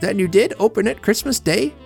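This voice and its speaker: A man reading a story and voicing a shrewd elderly aunt who asks probing, sharp questions. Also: medium pitch, expressive, a little fast, vocal fry, soft background music, voice pushed up.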